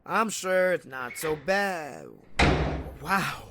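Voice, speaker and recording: Bumbling male officer, unhurried, slightly high, hesitant, audio drama, background noise. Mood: curious, awed. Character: awed, bumbling male junior police officer. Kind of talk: naturalistic audio drama acting.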